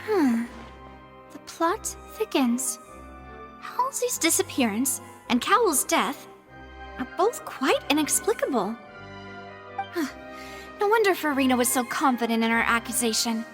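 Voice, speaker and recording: A woman's voice: high-pitched, big pitch swings, unhurried, pauses often, fairly bright tone, soft background music, heavily acted. A woman voicing a poised, inquisitive young lady detective in a video game, thoughtful and articulate. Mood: pondering, explanatory.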